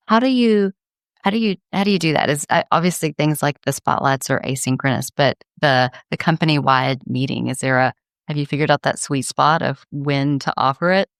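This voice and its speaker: Low-voiced woman host with vocal fry. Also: normal speed, no room sound, studio quality. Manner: curious, casual, self-deprecating asides. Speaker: podcast host. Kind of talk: interview questions.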